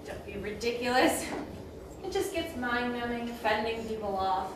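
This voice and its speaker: A haughty queen of the dead, acted by a woman in a drama, with a high voice that sweeps up and down. She speaks formally and gives orders with cool condescension. The recording is noisy, with heavy echo. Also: lively intonation, normal speed, natural voice. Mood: coolly condescending. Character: imperious, coolly condescending goddess queen of the underworld. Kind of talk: theatrical drama acting. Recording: distant, very echoey, noisy room recording.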